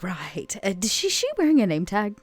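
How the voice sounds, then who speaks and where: A woman's voice: medium pitch, sing-song, normal speed, dry recording. A woman casually narrating her character's actions as a player in a tabletop game.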